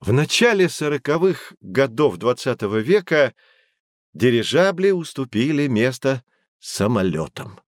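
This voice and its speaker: An actor playing a knowledgeable man who guides children through an educational audio drama, explaining things in a clear didactic way. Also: mid-range voice, sing-song, unhurried, no echo, studio quality. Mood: patient, explanatory. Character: knowledgeable male teacher guiding children on adventures. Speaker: guide explaining history and technology.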